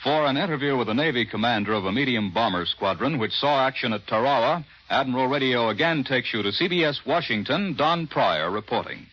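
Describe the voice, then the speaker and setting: A man's voice: medium pitch, animated, brisk, few pauses, a bit bright, husky. An American man reading the news as anchor of a 1940s radio broadcast. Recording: dull vintage 1940s radio recording.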